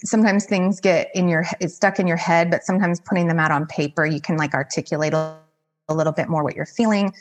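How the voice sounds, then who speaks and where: A woman's voice: fairly low pitch, fairly even intonation, fast, over music. A woman life coach giving casual, conversational advice as a podcast guest, with informal phrasing peppered with "like". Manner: informal, heavy use of "like".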